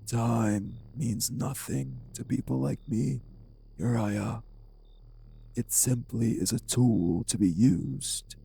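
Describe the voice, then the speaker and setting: Man playing a slow, gravelly, haughty vampire sire. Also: mid-range voice, warm tone, heavily acted. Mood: cold, controlled. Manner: formal, deliberate, menacing, haughty diction. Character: refined, bitter, possessive old vampire sire, jilted husband. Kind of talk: dramatic audio roleplay acting.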